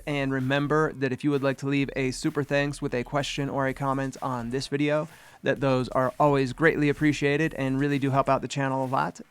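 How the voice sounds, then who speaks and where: A man's voice: medium pitch, clean tone. A man hosting a casual video commentary, chatty and upbeat, his voice slightly congested as he recovers from a sinus infection. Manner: chatty, upbeat and rambling, with fillers. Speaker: host.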